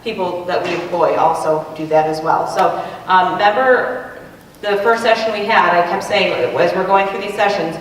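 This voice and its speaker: A woman facilitator with a fairly low voice introduces a guest speaker in a friendly, filler-heavy way. She is far from the mic in an echoey hall with long reverb and faint noise. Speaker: session facilitator. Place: large echoey meeting room.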